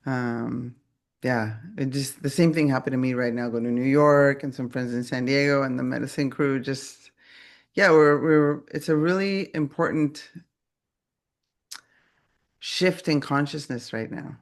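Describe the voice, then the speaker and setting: Relaxed podcast host, casual man. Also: medium pitch, a little slow. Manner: relaxed, casual, affirming interjections. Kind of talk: casual conversational interview.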